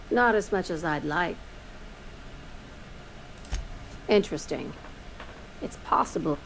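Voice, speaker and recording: Casting: woman as icy game boss, low voice, sardonic and clipped, quietly threatening, faint noise behind. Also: lightly acted, poor audio. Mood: dry, guarded. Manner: dry, clipped, menacing. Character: curt, paranoid female boss, cold and sardonic. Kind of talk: video game voice acting.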